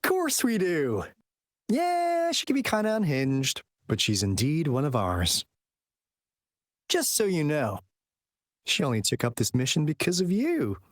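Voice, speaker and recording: Breezy, very animated young agent. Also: male voice, a little high, unhurried, no room sound, studio quality. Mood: amused. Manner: conversational, expository, breezy. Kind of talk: video game dialogue acting.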